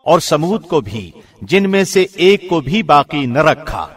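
A man reading a scripture translation from a script, in a formal, measured radio presenter's delivery. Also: fairly high pitch, very animated, breathy edge, faint music behind.

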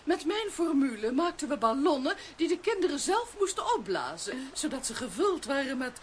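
A woman's voice: sits high, very animated, normal speed, a little hiss or hum. A woman in a children's radio play voicing an elderly fairy spell-maker, rueful, gushing and theatrical.

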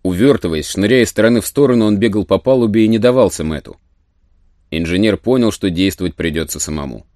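A man's voice: lowish voice, fast talker, a little mellow, no echo, clean studio sound. A man narrating a story as a scripted dramatic audiobook. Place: studio.

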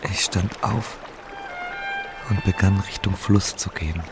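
Wounded dazed survivor, radio drama, low and flat, numb halting delivery. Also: male voice, vocal fry, over music. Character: wounded, dazed male survivor lying among corpses. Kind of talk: slow radio drama monologue.

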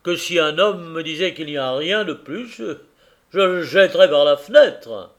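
A man's voice: on the high side, lively intonation, measured pace, voice pushed up. A man reading aloud and voicing an honest, blunt working-class husband who drives a carrier's cart. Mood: indignant.

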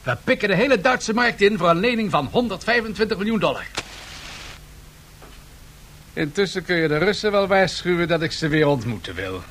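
An actor playing a bold, impatient, ambitious tycoon in a radio drama, with a brisk, commanding manner. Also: male voice, high-pitched, lively intonation, fairly bright tone, slight background noise. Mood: bold, expansive. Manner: brisk, commanding, self-assured.

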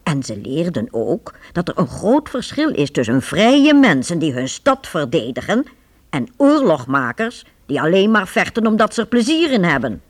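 A woman narrating a Dutch radio play in a measured, dramatic storytelling manner. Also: fairly low pitch, big pitch swings. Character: storybook narrator of a medieval adventure.

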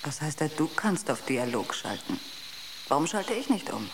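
An actress playing a logical, quietly argumentative ship's computer in a radio drama, with precise, clinical delivery. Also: female voice, low-pitched, very animated, moderate pace, background noise. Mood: dryly argumentative.

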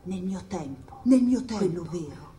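A woman acting a solitary, haunted spirit in a theatrical radio monologue, incantatory and repeating phrases as the delivery swings between hush and cries. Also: fairly low pitch, expressive, moderate pace, natural voice. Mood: wistful, hushed. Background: live jazz percussion and music.

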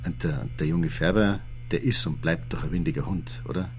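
Low-voiced Bavarian desk policeman. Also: moderate pace, slight background noise. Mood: gossipy. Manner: colloquial, chatty. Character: easygoing, gossipy small-town police desk officer, a father. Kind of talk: naturalistic radio drama dialogue.

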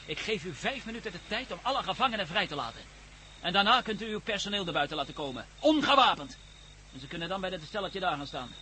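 A cocky gang leader in an old radio play, with a very high, piercingly bright voice. He barks orders and mocks his captives with sardonic menace, over slight recording noise. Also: lively intonation, fast talker. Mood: sardonic, threatening. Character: cocky commanding male armed gang leader, menacing, mocking. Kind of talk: radio drama acting.

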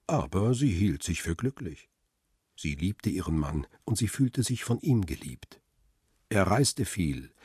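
A man playing a middle-aged, reflective photographer who narrates a radio crime drama in a restrained, husky voice. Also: sits low, darkish tone, no echo, clean studio sound, full character voice. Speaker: narrator and lead character.

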